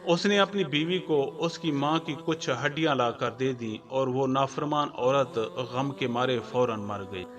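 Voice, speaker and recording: A man hosting and narrating a scripted moral story in a formal, measured storytelling manner. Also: medium pitch, a bit bright, lo-fi sound. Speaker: narrator and channel host. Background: background music.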